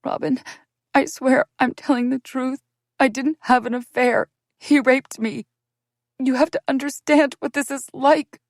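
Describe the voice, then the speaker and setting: A woman's voice: mid-range voice, steady tone, moderate pace, vocal fry, dark tone, breathy edge, dry recording, studio quality, pitched above the performer's own voice. A woman reading an audiobook voices a distraught young woman confessing a painful secret, her speech pleading and breaking into tears. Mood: desperate.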